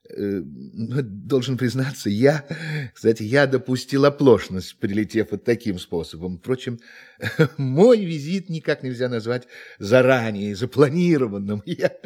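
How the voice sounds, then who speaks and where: An actor in a radio play voicing a polite, whimsical, impulsive alien tourist who speaks in a hesitant, musing way. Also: male voice, fairly high pitch, darkish tone, heavily acted.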